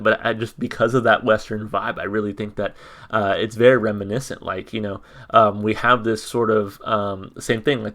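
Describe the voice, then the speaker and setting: A man reviewing a song on his channel in a casual, monotone way, full of ums and restarts. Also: fairly low pitch. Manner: hesitant, many ums and restarts. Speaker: music reaction YouTuber. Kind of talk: casual reaction commentary.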